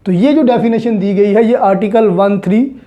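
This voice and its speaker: A woman's voice: medium pitch, moderate pace, warm tone. A woman with a Pakistani accent giving an explanatory law lecture, mixing in English terms and repeating points in a didactic way.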